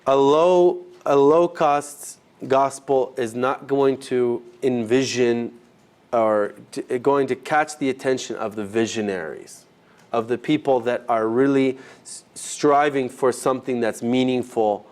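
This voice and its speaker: A man leads a live group session in an easy, unscripted way. His pitch sits in a middle range for a man, and he often stops and rephrases mid-sentence as he works out his points. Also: darkish tone, clean tone. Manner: conversational, halting, rephrasing as he goes. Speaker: ministry trainer teaching a group. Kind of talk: informal classroom teaching.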